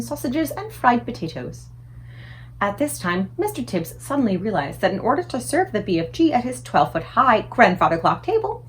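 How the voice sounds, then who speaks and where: A woman reading a storybook aloud as narrator, in an expressive style suited to children. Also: a little high. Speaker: book reader.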